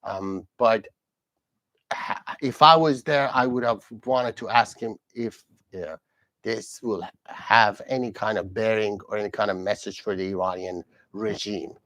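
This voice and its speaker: A man's voice: on the low side, a little slow, fairly bright tone, no echo. A man born and raised in Iran, hosting a solo live commentary in English, earnest and repeating himself, with many fillers and restarts. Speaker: host.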